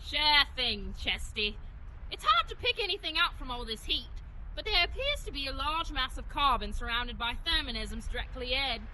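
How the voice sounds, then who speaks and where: A woman's voice: sits high, sing-song, moderate pace, bright, forceful tone, slight background noise, heavily acted, rough recording. A woman playing a sarcastic, wisecracking shape-shifting sidekick in a radio drama, with a flippant, slangy way of talking. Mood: wry.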